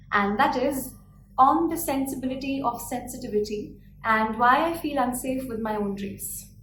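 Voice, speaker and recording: An earnest young woman with an Indian accent delivers a prepared speech, declaiming her points and posing rhetorical questions. Her voice sits a little high. The room is echoey, with faint noise behind her. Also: fairly bright tone. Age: 20s. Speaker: speaker.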